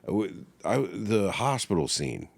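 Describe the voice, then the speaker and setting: A man co-hosting a casual film podcast, animated and profane, laughing often. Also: sits low, a little slow, gravelly, slightly rough, dry recording. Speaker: podcast co-host.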